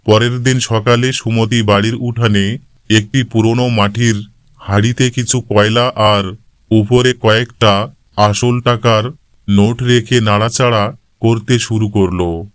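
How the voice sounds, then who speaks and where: Guy narrating a kids moral story from a script, very clear smooth voice, dry studio-like sound. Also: fairly low pitch, steady tone, unhurried, no echo.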